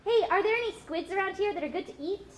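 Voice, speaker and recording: Naive young whale puppet, female actor: extremely high, exclamatory, live recording with faint noise. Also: expressive, fast. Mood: curious. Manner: wide-eyed, hesitant exclamations.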